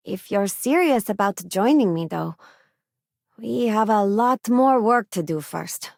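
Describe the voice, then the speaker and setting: A woman's voice: medium pitch, no room sound, studio quality. An actress playing a principled, idealistic female crew companion in a space video game, speaking sincerely and conversationally. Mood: helpful, ready. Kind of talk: acted game companion dialogue lines.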